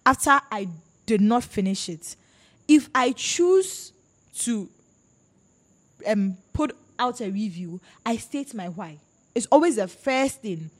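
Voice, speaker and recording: A woman's voice: a little high, takes it slowly. A woman from Ghana hosting a casual podcast chat about books, talking emphatically and animatedly, often overlapping her guests. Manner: animated, emphatic, talks over others. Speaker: podcast host.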